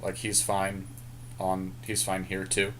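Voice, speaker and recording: A man's voice: low-pitched, monotone, slow pace, a bit bright, slight background noise. A man co-hosting a podcast, chatting casually and thinking aloud in informal slang as he talks through a card game draft. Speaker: podcast co-host.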